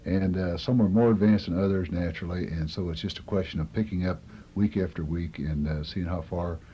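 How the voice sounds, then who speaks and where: A man's voice: deep voice, brisk, slightly rough. A middle-aged man, a college coach, explaining things in a radio interview. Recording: dull old tape with faint noise.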